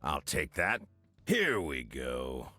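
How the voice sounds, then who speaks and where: An actor playing a cocky, flamboyant, self-adoring axe warrior in a video game, boastful and theatrical, with a gravelly rasp. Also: male voice, very deep voice, huge pitch swings, slow pace, bright, forceful tone, no room sound, soft background music, full character voice. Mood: cocky, menacing. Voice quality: raspy, gravelly. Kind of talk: video game champion voice lines.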